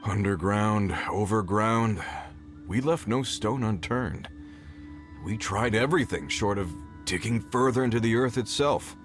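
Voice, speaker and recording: Older game miner foreman, male actor: low register, weary, worried, grave and plain-spoken. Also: a little slow, music in the background, lightly acted. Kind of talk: video game character dialogue.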